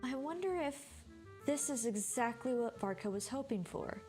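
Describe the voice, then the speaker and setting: A woman's voice: a little high, expressive, moderate pace, music in the background. Chatty woman streamer commenting on a game. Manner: chatty, thinking aloud. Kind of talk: casual live gameplay commentary.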